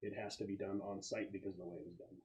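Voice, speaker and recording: A man working as game master, describing scenes and answering questions in a halting, explanatory way during a tabletop game. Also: sits low, level tone, a little mellow.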